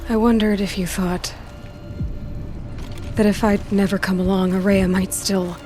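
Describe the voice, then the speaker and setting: A woman's voice: medium pitch, level tone, measured pace, a little mellow, soft background music, natural voice. A woman playing a plucky young hunter heroine in a video game, speaking in a direct, earnest and dryly wry way. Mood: gentle, earnest. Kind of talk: naturalistic video game acting. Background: game score.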